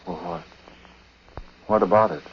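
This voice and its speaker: Troubled husband and dutiful nephew, very low voice, halting confessional delivery, vintage radio drama with muffled, hissy sound. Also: male voice, big pitch swings. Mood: uneasy, gentle. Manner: halting, conversational. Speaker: lead actor and narrator. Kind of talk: 1940s radio acting, first-person confessional narration. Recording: noisy, muffled 1940s radio recording.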